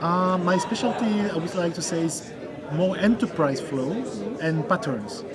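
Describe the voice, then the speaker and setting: Belgian man with a French accent, native French, searching for words, loud conference crowd. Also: high-pitched, expressive, a little slow. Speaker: interview guest. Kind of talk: interview answers. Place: busy conference hall.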